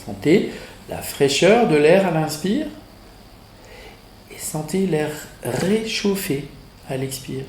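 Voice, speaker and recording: Slow-paced man guiding relaxation, higher-pitched voice. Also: lively intonation, darkish tone, a little hiss or hum. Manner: measured instructions in short phrases with pauses. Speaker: yoga nidra instructor. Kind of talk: guided relaxation meditation. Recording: roomy echo, music behind the voice.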